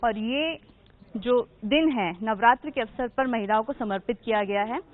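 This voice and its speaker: A woman's voice: fairly high pitch, normal speed. Woman TV reporter, phone-thin sound. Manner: formal, emphatic questioning. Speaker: field reporter. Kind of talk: news report and interview. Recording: narrow phone-like audio.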